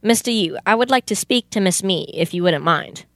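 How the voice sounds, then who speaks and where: A woman's voice: mid-range voice, animated, moderate pace, dry recording, close to an everyday voice. A young woman playing a polite, methodical male police detective in an amateur radio play. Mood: polite, puzzled. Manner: formal, questioning. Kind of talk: amateur old-time radio theater acting.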